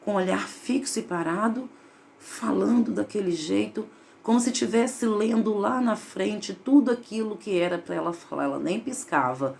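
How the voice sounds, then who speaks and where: A woman's voice: medium pitch, moderate pace, gravelly, darkish tone. A woman giving an emphatic, opinionated, rambling spiritual monologue from a room at home. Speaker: spiritualist vlogger.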